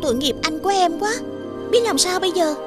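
Lady playing sweet polite young girl in a kids fairy tale, super high voice, earnest. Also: brisk, over loud music. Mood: curious, concerned. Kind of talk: scripted children's fairy-tale acting.

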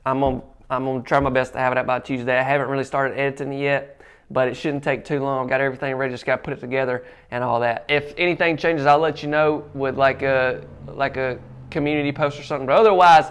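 A young man hosts a home-tour video, talking casually and warmly to camera. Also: mid-range voice, fast talker, smooth. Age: young adult. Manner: friendly, chatty, animated with hand gestures. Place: indoors at a kitchen counter. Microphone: clip-on lapel mic.

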